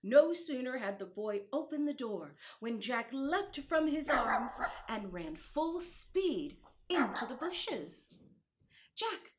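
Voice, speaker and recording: Very slow, high-voiced woman storyteller. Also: very animated. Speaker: storyteller reading a children's Christmas book. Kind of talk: storybook narration.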